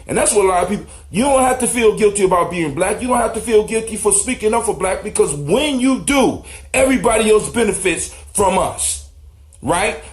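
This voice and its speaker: A man with a voice a little higher than most leads a discussion as host. He teaches in a preacherly but informal way, mixing readings with slang and the odd swear word. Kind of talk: teaching talk, reading and explaining proverbs to guests.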